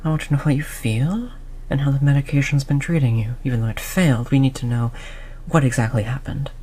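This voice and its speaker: A performer of unclear sex playing a cold, condescending researcher who disciplines a captive test subject in a measured, mock-polite way, in an audio roleplay. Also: mid-range voice, fairly even intonation, dark tone, slight background noise, close to an everyday voice. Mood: patronizing, exasperated. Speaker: scientist running human experiments. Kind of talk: scripted audio roleplay drama.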